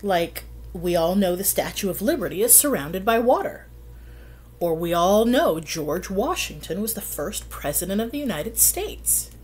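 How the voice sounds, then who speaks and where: A middle-aged woman reading a children's picture book aloud, with expressive, deliberate storytelling and drawn-out phrases. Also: medium pitch, slightly rough. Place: small plain room indoors.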